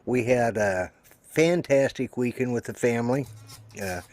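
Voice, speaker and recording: A hoarse man in his sixties, co-hosting a live show and rambling along with self-deprecating jokes. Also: mid-range voice, measured pace, darkish tone, no room sound. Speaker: co-host. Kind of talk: casual live-stream chat.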